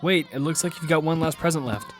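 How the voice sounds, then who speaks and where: A man's voice: a little high, quick pace, background noise, natural voice. A man playing a protective single father in an audio drama, both narrating and speaking in scenes. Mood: warm. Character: Damian, protective single father of a six-year-old girl. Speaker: narrator and lead actor. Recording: loud music under the voice. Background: music.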